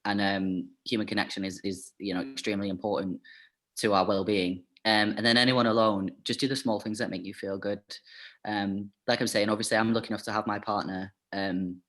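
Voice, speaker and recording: A man's voice: fairly low pitch, flat delivery, fairly quick, fairly bright tone. A man chatting as an interview guest, talking casually with lots of fillers and "like".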